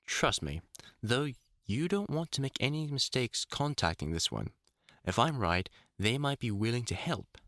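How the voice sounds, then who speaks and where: A man plays a seasoned vampire hunter in an intimate audio drama, middle-aged, wry and protective. The delivery is lively and varied, with a bright, forceful edge. It is recorded very dry and close, with informal muttered asides. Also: mid-range voice, normal speed, no room sound. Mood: reading aloud, measured. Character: gruff, caring, experienced male vampire hunter, wry and teasing. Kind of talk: intimate audio roleplay acting.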